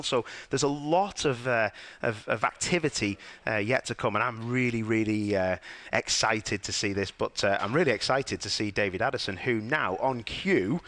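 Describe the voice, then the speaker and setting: A man's voice: mid-range voice, a bit bright. A man from near Liverpool with a Liverpool accent, chatting casually as a motorsport presenter on a live stream, self-deprecating and full of fillers. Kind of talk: casual live commentary chat.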